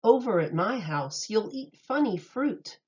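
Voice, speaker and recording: A woman reads a children's rhyming story at an unhurried pace. Her pitch swings in a lively sing-song, with each phrase set out clearly for young listeners. The sound is slightly dull and lo-fi. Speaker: teacher reading a picture book aloud.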